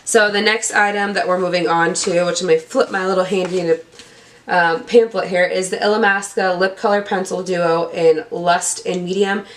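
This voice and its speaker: A young woman with a cold-roughened, hoarse voice, chatting casually as she unboxes beauty products and stumbles over product names. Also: lowish voice, fairly even intonation, normal speed. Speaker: beauty vlogger.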